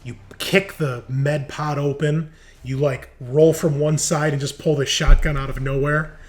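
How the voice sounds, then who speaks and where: A man's voice: fairly high pitch, little pitch movement, measured pace. A man acting as game master, narrating the action of a tabletop role-playing game in a casual, conversational, storytelling way.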